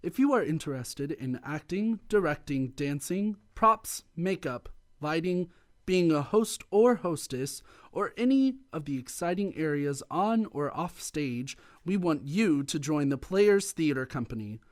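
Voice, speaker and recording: A man's voice: fairly high pitch, animated, measured pace. A man reading a scripted promotional announcement as a spokesman for a community theater.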